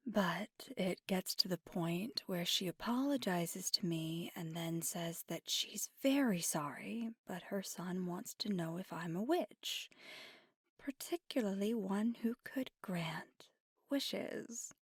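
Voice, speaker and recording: Soft, breathy, unhurried playful girlfriend played by a woman. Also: on the low side, dry recording, clean studio sound, heavily acted. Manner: soft, breathy, often half-whispered, unhurried, giggly. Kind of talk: ASMR girlfriend roleplay, intimate storytelling.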